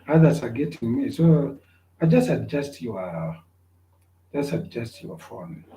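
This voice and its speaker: A man lectures at a slow, deliberate pace, circling back over the same points again and again. His voice is deep and mellow in tone, and it rings in an echoey room. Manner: repetitive, checks on listeners. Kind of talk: online class lecture.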